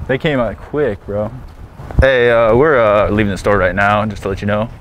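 A man's voice: on the low side, steady tone, normal speed, highs cut off, slight background noise. A man in his twenties with an American accent, chatting casually as the host of a prank video. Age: 20s. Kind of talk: casual prank vlog chat. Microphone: wireless mic or camera shotgun mic.

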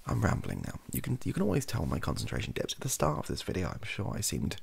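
A young man with a British accent chats softly in a very low voice full of creak. His delivery is rambling and improvised, swinging freely up and down in pitch as he makes dry, offhand asides. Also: huge pitch swings, quick pace, a little hiss or hum. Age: young adult. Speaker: host of a comedy ASMR channel. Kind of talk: improvised soft-spoken monologue, casual chat. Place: small cluttered room indoors.

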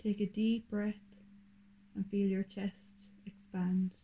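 A woman leading a guided meditation in a soothing, deliberate manner, speaking in short, unhurried phrases. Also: mid-range voice, flat delivery, dark tone, slightly rough.

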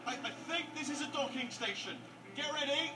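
A man's voice: extremely high pitch, fairly quick, noisy background. A man voicing a chatty, nervous robot sidekick in a video game, rambling and hesitant. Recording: game audio from TV speaker, roomy and distant. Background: game music.

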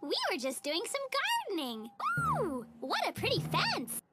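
A woman's voice: sits high, wildly swooping pitch, normal speed. A woman voicing a relentlessly cheerful, naive and sweet little girl in a children's cartoon, with a gushing, sing-song, oblivious delivery. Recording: cartoon soundtrack with jingly music behind.

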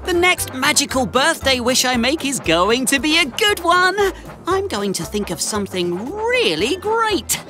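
A woman's voice: high voice, very animated, a little slow, music in the background. A woman voicing a cheerful, earnest young boy tank engine in a children's cartoon. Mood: excited, eager. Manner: bright-eyed, earnest, exclamatory.

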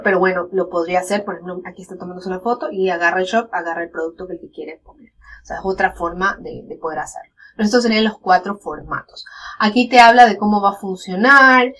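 A woman's voice: medium pitch, a bit bright. Woman, 30s, chatty tutorial host, explains on a desk mic at home. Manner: chatty, explains step by step with many fillers. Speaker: online business educator. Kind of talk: screen-share tutorial. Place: home room, webcam corner inset.

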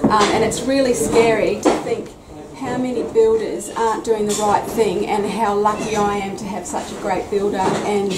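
A woman's voice: mid-range voice, level tone, moderate pace, distant mic. A woman in her forties with an Australian accent, a homeowner talking earnestly about her renovation. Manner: earnest, effusive. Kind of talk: casual chat. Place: house under renovation, indoors. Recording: echoey room, off-mic, loud noise.

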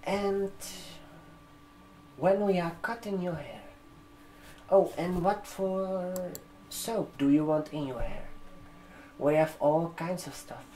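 Slow-talking young man doing ASMR, non-native English. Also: high-pitched, slight background noise, poor audio. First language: not English. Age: 20s. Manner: gentle, halting, drawn-out phrasing with check-in questions. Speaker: ASMR performer playing a barber shop receptionist. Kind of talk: ASMR roleplay, soft customer-service talk. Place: indoor room, seated at a desk with a laptop. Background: computer keyboard typing.

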